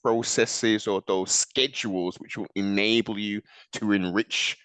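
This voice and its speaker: A man's voice: on the low side, steady tone, unhurried, fairly bright tone, clear, resonant tone, dry recording, poor audio. A man hosting a conversational sports interview with theatrical, formal flourishes and an encouraging way of talking. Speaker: sports show host.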